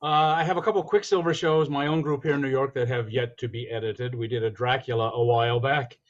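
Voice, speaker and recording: A man's voice: medium pitch, steady tone, fast talker. Older-ish guy just chatting as a guest, kinda dull lo-fi call sound. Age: middle-aged or older. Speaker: guest, radio drama producer and performer. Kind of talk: casual chat.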